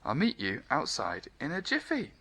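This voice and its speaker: A man's voice: medium pitch, huge pitch swings, normal speed, slight background noise, pitched above the performer's own voice, heavily acted. A man voicing a kindly, hearty old Santa in an exclamatory storybook manner. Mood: surprised, kindly. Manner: exclamatory, warmly approving.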